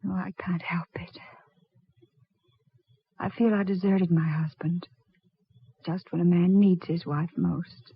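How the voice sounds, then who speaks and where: Bereaved widow, vintage radio chiller: anxious, melodramatic, breathy, on a thin phone-like recording. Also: female voice, on the low side, steady tone, slow pace, long pauses, heavily acted. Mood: grieving. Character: grieving, anxious, devoted young-to-middle-aged widow. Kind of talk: 1940s radio horror acting.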